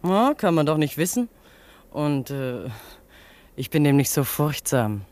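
A woman's voice: sits low, very animated, a little slow, a little mellow. Coy, teasing young dockside lady. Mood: coquettish. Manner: teasing, coquettish. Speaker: actor. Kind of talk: German radio play acting.

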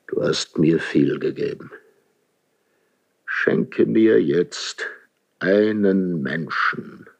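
Proud, lonely monarch, imperious and distrustful; deliberate classical verse delivery for radio theatre, unhurried. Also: male voice, low voice, vocal fry, exaggerated voice. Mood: weary, pleading. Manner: declamatory, commanding. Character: proud, suspicious, imperious king, lonely and wrathful. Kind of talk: 1970s German radio play, classical verse acting.

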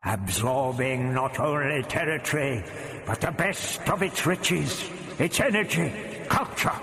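A man voicing a booming alien parasite ruler in a science fiction audiobook, hollow and grating, with imperious drawn-out commands. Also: lively intonation, bright, forceful tone, roomy sound, long reverb tail. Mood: boastful. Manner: slow, drawn-out imperious delivery.

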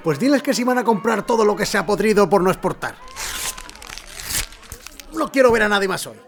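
A man's voice: high voice, lively intonation, a little fast, slight background noise. A man playing an irritable, dismissive provincial governor in an audio drama, curt and imperious. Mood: irritated.

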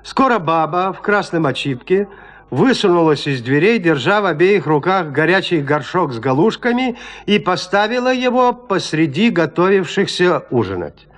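A man narrating a classic literary tale in an audio drama, measured, with deliberate pauses. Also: sits high, very animated.

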